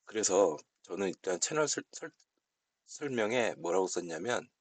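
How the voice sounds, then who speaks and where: A man explaining software settings step by step in an unscripted tutorial, speaking politely as an instructor. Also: low-pitched, sing-song, normal speed, husky, dry recording.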